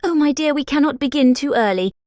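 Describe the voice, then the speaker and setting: A woman playing a pushy, self-important married gentlewoman in an audiobook drama, with a British accent and a gushing, patronising manner. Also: high-pitched, animated, brisk, dry recording, studio quality. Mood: officious, boastful. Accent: British English. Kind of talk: dramatized classic novel audiobook.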